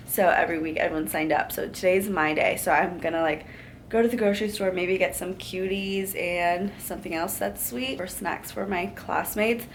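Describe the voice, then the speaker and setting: A woman's voice: on the low side, normal speed. A woman in her twenties, a grad student chatting casually in a vlog, sounding congested from allergies. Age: 20s. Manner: chatty, upbeat, rambling with many likes. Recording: noticeable background noise.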